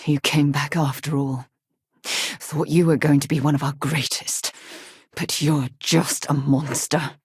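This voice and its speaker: A woman's voice: low voice, fairly even intonation, unhurried, breathy edge, no echo, clean studio sound, exaggerated voice. A woman voicing an articulate, earnest and wry explorer who leads with principle in a space video game. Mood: indignant, wry. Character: principled, adventurous woman explorer, leader in a space-faring society. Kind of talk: video game character dialogue.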